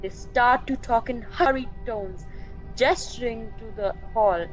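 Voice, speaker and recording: A woman narrating a scripted radio play in a measured, atmospheric, dramatic storytelling manner. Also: fairly high pitch. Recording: dull lo-fi sound with music.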